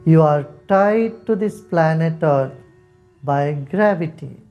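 A man leading a guided meditation, giving soft, calm instructions with long pauses. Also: on the high side, lo-fi sound. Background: soft instrumental music.

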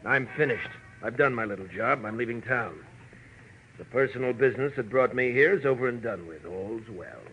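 An actor playing a cool, smug, crooked private eye in a 1940s radio noir, taunting with mock politeness. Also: male voice, mid-range voice, lively intonation, normal speed, dull audio, natural voice. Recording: noisy vintage radio recording.

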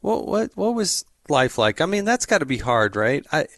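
A middle-aged man interviewing a guest, asking questions in a halting way with many repeated words. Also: on the high side, very animated, fairly bright tone, no room sound, studio quality. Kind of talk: conversational interview.

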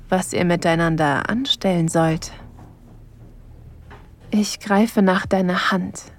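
An actress playing a playful, sensual woman on holiday, narrating intimately in an audio drama and slipping into whispers. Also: medium pitch. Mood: playful, inviting. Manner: breathy, slow, sensual delivery slipping into whispers.

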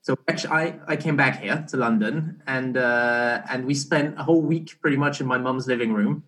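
Young male podcast guest, mid-pitched, relaxed, halting delivery with lots of restarts. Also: poor audio. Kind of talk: casual conversational interview.

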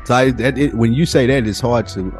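A man's voice: medium pitch, a little fast, darkish tone. A man co-hosting a podcast in casual, slangy conversation. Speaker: podcast co-host. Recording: music clearly playing behind the voice. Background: background music with guitar.

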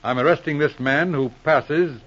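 Resolute, sharp-witted inspector, authoritative and slightly rough-voiced, vintage radio drama with dull sound. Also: male voice, on the high side, moderate pace. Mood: firm, commanding. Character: shrewd, resolute male military police inspector. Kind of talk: 1940s radio drama acting. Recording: muffled old radio recording.

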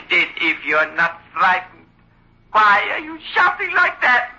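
A sinister wooden dummy come alive in an old radio horror play. The voice is pitched high and very sharp, with cold, deliberate threats. The recording is dull and lo-fi. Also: male voice, huge pitch swings, exaggerated voice. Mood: mocking. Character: sinister talking ventriloquist's dummy, taunting and menacing. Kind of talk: 1960s radio horror drama acting.

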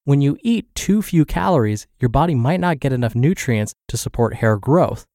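A man's voice: mid-range voice, lively intonation, normal speed, mellow, no echo, studio quality. A man hosting a podcast and reading a health blog aloud, sounding congested and scratchy from the flu. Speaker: podcast host and narrator.

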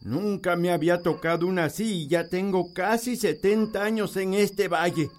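An actor playing an old village farmer and healer, nearly seventy, who speaks plainly. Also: male voice, sits high, slight background noise, close to an everyday voice. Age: older. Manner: slow, unhurried, plainspoken. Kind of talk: radio drama acting.

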